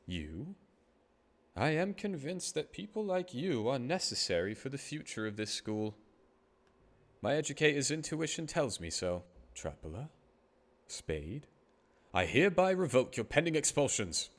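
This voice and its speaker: A man's voice: mid-range voice, sing-song, measured pace. A man playing a pompous, self-congratulating magic school headmaster, formal and melodramatic, in an anime fandub. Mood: self-satisfied.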